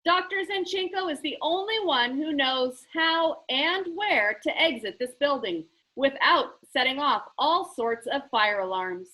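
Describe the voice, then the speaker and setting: Eccentric storybook gentleman game-maker, played by a woman: bright, high, theatrical, playfully grand. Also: exaggerated voice, poor audio. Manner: theatrical, emphatic, pronouncing showily.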